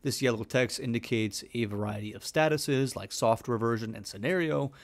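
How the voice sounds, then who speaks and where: A man's voice: mid-range voice, moderate pace. Man narrating a scripted explainer video.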